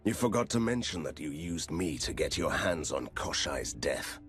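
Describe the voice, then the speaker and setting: An actor playing a determined monster hunter hero in a video game, with a dry, sardonic manner. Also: male voice, extremely low pitch, very animated, normal speed, a bit bright, soft background music, full character voice. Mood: defiant anger.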